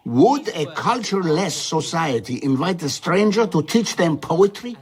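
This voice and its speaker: A man's voice: a little high, sing-song, unhurried, a crowd in the background. An actor playing an earnest, indignant traveller in an audio drama, defending his ideals formally and with passion. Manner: formal, impassioned.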